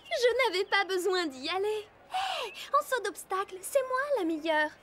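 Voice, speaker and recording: A woman's voice: extremely high pitch, big pitch swings, unhurried, a little hiss or hum, exaggerated voice. A woman voicing a headstrong, cocky young girl horse rider in a cartoon dub. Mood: confident. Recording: loud background music.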